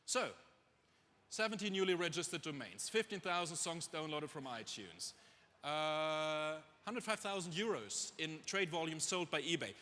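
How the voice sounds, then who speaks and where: Echoey large hall, middle-aged man, German accent, forceful bright voice, conference presenter, informal, self-deprecating humour. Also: a little high. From Germany, raised in Dubai. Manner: self-deprecating, conversational, rhetorical asides to audience. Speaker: chief executive speaking on stage.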